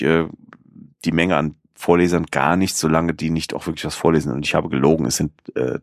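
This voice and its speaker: Low-pitched guy on a podcast, lots of ums and restarts, dry room. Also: creaky, dry recording. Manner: rambling, many fillers and restarts. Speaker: podcast host. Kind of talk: casual podcast chat.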